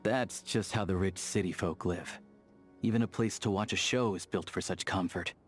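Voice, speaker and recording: A man plays a hardy young man of the snowfields in a video game, his voice on the low side. He is easygoing, sincere and plain-spoken, with firm principles. Music accompanies him. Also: normal speed, natural voice. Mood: reflective, sincere. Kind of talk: video game character voice acting.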